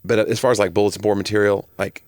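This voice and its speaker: Low-voiced American man bantering on a podcast. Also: fairly even intonation, fast talker, darkish tone, no room sound, studio quality. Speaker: podcast co-host. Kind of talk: casual chat. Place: small home room, indoors. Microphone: close podcast microphone on boom arm.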